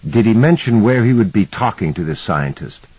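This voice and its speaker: Man reading as earnest, uneasy husky-voiced seeker. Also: lowish voice, very animated, moderate pace, dry recording, highs cut off, voice pushed up, exaggerated voice. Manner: questioning, tentative. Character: earnest, uneasy male seeker, the story's hero.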